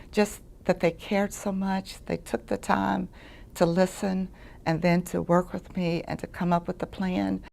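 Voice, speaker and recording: Middle-aged woman, low gravelly voice, earnest patient story. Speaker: patient giving a testimonial. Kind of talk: personal testimonial.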